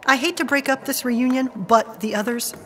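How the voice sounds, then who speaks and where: A woman's voice: sits high, moderate pace, noisy background, natural voice. A woman playing a determined, defiant character in an audio drama. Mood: urgent. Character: determined, defiant woman breaking free of illusion.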